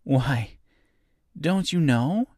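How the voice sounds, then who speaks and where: A man voicing a polite, sympathetic little girl in a storybook, sweet, curious and gently courteous. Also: mid-range voice, sing-song, measured pace, dry recording, clean studio sound, voice pushed up. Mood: surprised.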